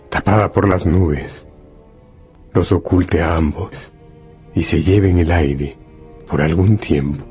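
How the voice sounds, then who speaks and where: An actor narrating a literary radio drama as a solemn, brooding, deliberate storyteller. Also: male voice, low-pitched, warm tone.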